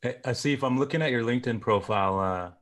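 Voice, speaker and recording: A man co-hosts a podcast and speaks quickly, at a middle pitch. He asks questions and throws in teasing jokes throughout the casual chat. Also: a bit bright. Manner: joking, teasing banter. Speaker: podcast co-host.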